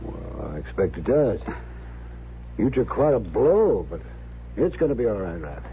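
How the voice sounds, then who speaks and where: A kindly, low-voiced frontier doctor. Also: male voice, sing-song, normal speed. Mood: soothing. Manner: gentle, hesitant, repeating himself. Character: kindly, soothing frontier town doctor, grown man. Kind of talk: 1950s western radio drama acting. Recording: muffled, noisy old radio recording.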